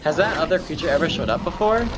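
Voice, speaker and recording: A man's voice: a little high, lively intonation, quick pace, lightly acted. A man playing an uneasy lighthouse companion in an amateur radio play, with a plain, questioning delivery. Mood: bemused. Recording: noisy rain and storm ambience.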